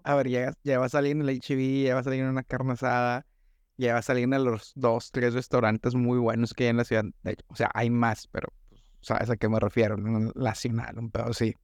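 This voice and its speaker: A man's voice: mid-range voice, little pitch movement, fairly quick, no echo, studio quality. A young man with a Monterrey accent, co-hosting a casual podcast chat in rambling slang. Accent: northern Mexican (Monterrey). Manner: slangy, rambling, says "güey" constantly. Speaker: podcast co-host.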